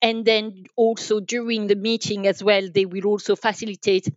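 A woman with a French accent answers interview questions conversationally. Her voice sits at an average pitch, and the sound is dull and very dry, with little of the room in it. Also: level tone, normal speed, no room sound. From France. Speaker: podcast guest, telecom sales manager.

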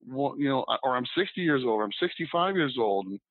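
A man on a thin, phone-like line with a dry, close sound. He rambles through his points with frequent ums and restarts. His voice sits in a middle pitch. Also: expressive, a little fast, no echo. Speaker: podcast guest, business broker. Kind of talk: casual podcast conversation.

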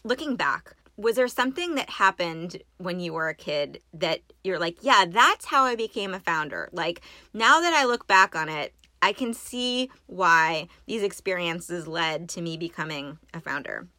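A woman's voice: lowish voice, lively intonation, a little slow, hardly any pauses, pushed and bright, dry recording. A woman speaking as a podcast host, introducing and interviewing a guest in a prepared style.

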